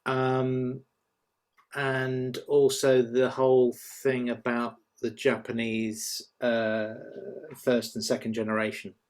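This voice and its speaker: A man's voice: medium pitch, completely flat delivery, takes it slowly, darkish tone. A man giving informal, tentative feedback on a script as a panel reader, hesitating as he goes.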